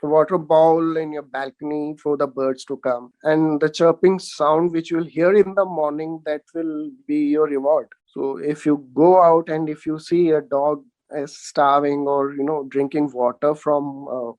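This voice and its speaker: Earnest Indian man, fairly high and dry. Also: little pitch movement, a little slow, darkish tone, no echo, low-quality recording. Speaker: animal rights activist, panelist. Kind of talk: radio panel discussion.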